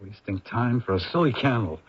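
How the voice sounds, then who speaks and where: Husky, brusque film director. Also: male voice, medium pitch, lively intonation, moderate pace. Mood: exasperated. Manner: brusque, commanding, impatient. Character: harried, exasperated male film director. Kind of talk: old-time radio drama acting. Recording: muffled old radio recording.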